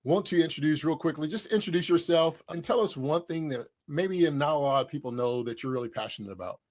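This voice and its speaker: A man's voice: a little high, a little mellow. Upbeat male interview host. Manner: upbeat, quick, loose phrasing with fillers. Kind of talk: casual interview hosting.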